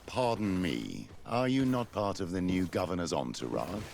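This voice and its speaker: An actor playing an older, smooth-tongued bishop in a video game, with a courtly, theatrical manner. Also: male voice, deep voice, very animated, moderate pace, darkish tone, a little hiss or hum, heavily acted. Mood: flattering, courtly. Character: older male bishop, flattering, smooth schemer.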